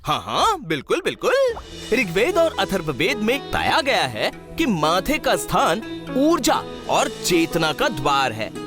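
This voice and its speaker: A woman's voice: mid-range voice, wildly swooping pitch, measured pace, over music. A woman voicing a cheerful, wise and playful magical stone who teaches children in an educational cartoon, encouraging and exclamatory. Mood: explaining. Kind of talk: animated educational cartoon dialogue.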